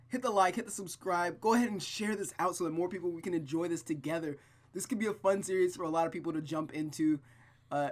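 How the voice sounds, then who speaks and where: An informal, excitable host reading a visual novel aloud and chatting casually to viewers, at a medium pitch. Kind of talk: casual let's-play narration and chat. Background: music with plucked guitar.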